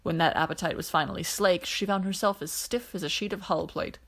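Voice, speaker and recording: A woman voicing a weary, dryly teasing alien man in an audiobook, with a measured, quiet delivery. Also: on the low side, fairly even intonation. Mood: weary, wry. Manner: dry, measured, quiet explanations.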